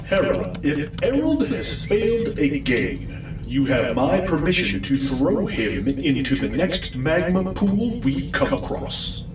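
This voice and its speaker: An actor playing a cold, threatening metal robot commander in an audio drama, speaking sternly and deliberately. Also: male voice, on the high side, wildly swooping pitch, full character voice. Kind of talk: audio drama villain acting. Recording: echoey and distant, music underneath.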